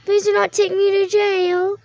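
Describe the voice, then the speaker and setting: A woman's voice: extremely high pitch, flat delivery, unhurried, gravelly, a little mellow, slight background noise, pitched above the performer's own voice, heavily acted. A woman voicing a vain, whiny evil neighbor who pleads melodramatically in an amateur toy roleplay. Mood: pleading, panicked.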